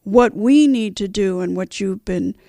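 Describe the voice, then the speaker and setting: A radio host who asks questions in a relaxed conversational way. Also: mid-range voice, unhurried, no echo, clean studio sound. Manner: gravelly, conversational, prompting. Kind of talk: radio interview.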